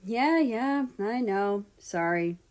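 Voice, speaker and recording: A woman's voice: medium pitch, animated, slow pace, a little mellow. A woman voicing a teasing young man, a personified country in a story, with casual, sarcastic banter.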